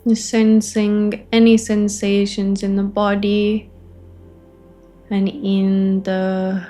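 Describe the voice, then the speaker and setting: A woman facilitating a guided meditation, offering gentle invitations in short phrases with long pauses between them. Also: medium pitch. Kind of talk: guided meditation, spoken softly to listeners.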